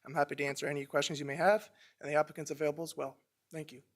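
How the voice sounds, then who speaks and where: A man on county planning staff, presenting a formal, measured report to a commission. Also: fairly high pitch, flat delivery. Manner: measured, reads from prepared text.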